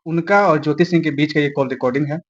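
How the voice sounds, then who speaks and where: A man's voice: a little high, fast, muffled recording. A man with a Bihari accent answering interview questions informally, making his points emphatically and repeating them. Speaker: interview guest commenting on film-star news.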